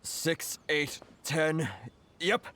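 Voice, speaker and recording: A man's voice: a little high, drawn-out words, noisy background. A man voicing a humble, nervous merchant in a video game, speaking politely, earnestly and a little hesitantly. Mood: grateful, relieved. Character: Kurisu, humble grateful male merchant, nervous.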